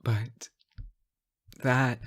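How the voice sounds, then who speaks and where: A man playing an obsessive, devoted fallen angel in an intimate, theatrical audio roleplay, halting and swinging between hushed murmurs and impassioned outbursts. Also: medium pitch, little pitch movement. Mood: adoring. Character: obsessive yandere fallen angel, male, devoted, unhinged lover.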